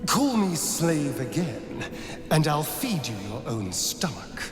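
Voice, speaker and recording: An actor playing a flippant, bloodthirsty elven rogue in a video game, with a British accent and a sardonic, theatrical way of talking. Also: male voice, fairly high pitch, big pitch swings, slow pace. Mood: menacing. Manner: theatrical, arch, sarcastic drawl. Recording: reverberant game mix with loud music.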